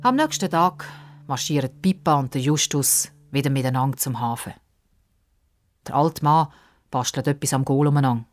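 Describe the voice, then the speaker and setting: A woman's voice: low voice, a little mellow. A woman narrating a children's story expressively from a script.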